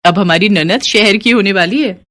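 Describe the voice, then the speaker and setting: A woman's voice: mid-range voice, moderate pace, fairly bright tone, close to an everyday voice. Woman voicing haughty young city-bred sister-in-law. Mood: smug, persuasive. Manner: dismissive, mixes English words into speech. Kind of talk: animated moral story character dialogue.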